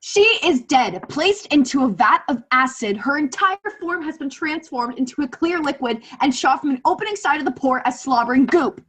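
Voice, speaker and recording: A woman's voice: high voice, expressive, moderate pace, hardly any pauses. A teenage woman playing a grandiose radio war reporter in a radio play, declaiming theatrically. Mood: grave, dramatic. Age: late teens. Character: melodramatic female radio war reporter, grandiose then panicked. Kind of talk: 1930s radio play acting. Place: bedroom on a video call.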